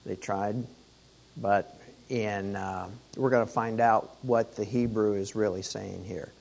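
A man teaching a weekly Torah portion, hoarse from losing his voice, talking conversationally with rhetorical questions. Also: fairly low pitch, steady tone, slow pace, a little mellow.

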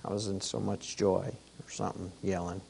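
A man teaching a weekly Torah portion, hoarse from losing his voice, talking conversationally with rhetorical questions. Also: low voice, little pitch movement, brisk, darkish tone.

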